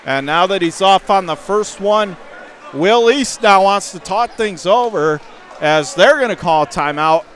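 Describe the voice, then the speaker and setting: High-pitched male basketball play-by-play announcer. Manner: clipped, urgent phrases rising to excited calls. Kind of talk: live basketball play-by-play commentary. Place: indoor high school basketball gym. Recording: game crowd and gym noise behind.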